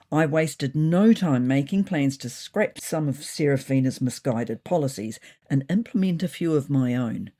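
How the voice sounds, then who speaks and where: A woman's voice: low voice, mellow, slightly rough, heavily acted. A woman voicing a thoughtful, neurotic, self-important male ginger cat who narrates his diary in a pompous, wordy way. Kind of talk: first-person storybook diary narration.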